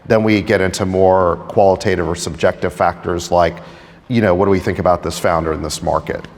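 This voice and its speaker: A man's voice: low-pitched, steady tone, fairly quick, vocal fry, darkish tone. A man casually explaining his approach as a panelist at a live event. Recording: reverberant conference hall.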